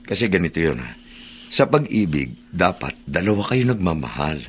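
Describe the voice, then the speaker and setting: A low-voiced, expressive Filipino buddy in a radio drama. He is blunt and chummy, talking colloquially over faint ambient noise. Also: normal speed. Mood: advising. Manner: colloquial, ribbing, tag questions. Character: blunt, chummy drinking buddy giving friendly love advice. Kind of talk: Tagalog radio drama acting.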